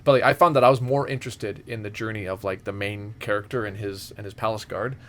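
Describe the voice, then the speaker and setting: A man's voice: lowish voice, fairly even intonation, quick pace. Casually profane man, noisy recording. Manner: profane, rambling, enthusiastic. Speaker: podcast co-host. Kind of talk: casual chat with friends.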